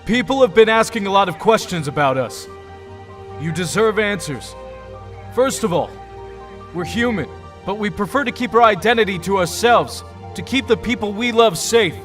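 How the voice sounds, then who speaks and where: Male actor as young superhero leader, high pitch, lively swings, earnest, commanding, music behind. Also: moderate pace. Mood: resolute. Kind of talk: audio drama acting.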